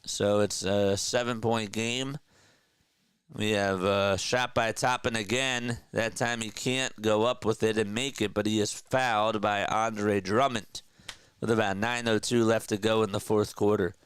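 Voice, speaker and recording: A man with a stuffy nose calling live basketball play-by-play in an energetic, chatty way, talking to his viewers between plays. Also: fairly low pitch, unhurried, clean tone, dry recording. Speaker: play-by-play caller.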